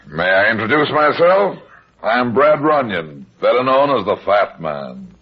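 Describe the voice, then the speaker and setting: An actor playing a wisecracking, unflappable heavyset private detective in a vintage radio drama, wry and sardonic. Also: male voice, on the low side, sing-song, a little slow, breathy edge, heavily acted. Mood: wry, calm. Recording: muffled vintage radio recording.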